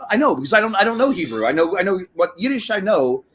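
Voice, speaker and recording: A man's voice: sits high, big pitch swings, fast talker, a loud crowd. A man hosting a casual online chat, blunt and argumentative, swearing freely and talking over the others.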